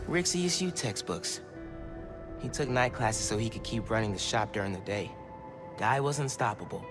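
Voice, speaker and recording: An actor playing an earnest young American superhero, thinking aloud in casual, clipped phrases as in a video game. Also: male voice, fairly low pitch, animated, normal speed, natural voice. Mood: pensive, focused. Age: young adult. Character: earnest, curious teenage male superhero investigating. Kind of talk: video game voice acting, self-talk while exploring. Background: background music.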